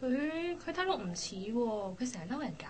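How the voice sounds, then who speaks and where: A woman's voice: a little high, very animated, normal speed, a little mellow, husky. A woman acting a frank, teasing young friend and coworker in a Cantonese radio drama, with a Hong Kong accent. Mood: gentle, puzzled.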